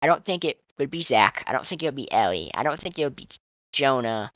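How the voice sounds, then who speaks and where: A young man with a high, strained and tired voice, rambling casually as a fan commentator with restarts and swearing. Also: big pitch swings, quick pace, a bit bright, no echo. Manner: chatty, swearing, trailing restarts. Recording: dull lo-fi dry mic.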